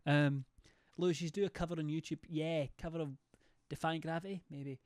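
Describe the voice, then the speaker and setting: A young man chats loosely, swearing and rambling as he reads messages from his stream's chat. His voice sits a touch high for a man. The sound is close and completely dry. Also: steady tone, fairly quick, darkish tone, clear, resonant tone, no room sound. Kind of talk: casual chat reading viewer messages.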